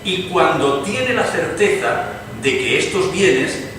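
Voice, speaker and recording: An actor plays an eloquent liberal gentleman in radio theatre, speaking in a measured, oratorical way. His voice sits a little high. He is heard from afar in a very echoey, noisy hall. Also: lively intonation, distant mic. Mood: solemn. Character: earnest, eloquent Spanish liberal revolutionary gentleman. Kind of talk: dramatized stage reading, radio theatre.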